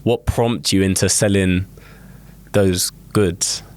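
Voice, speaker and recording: A man's voice: deep voice, fairly even intonation, slow pace, a little mellow. A young man hosting an interview show, firing eager follow-up questions at his guest. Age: young adult. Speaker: podcast host.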